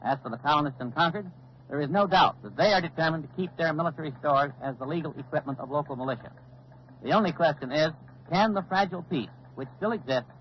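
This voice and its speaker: A man's voice: a little high, natural voice. Man playing grave news analyst, muffled old radio. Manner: formal, grave, deliberate. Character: grave, authoritative male military analyst commenting on colonial crisis. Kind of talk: 1940s radio news commentary, dramatized. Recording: dull old 1940s radio recording, faint noise.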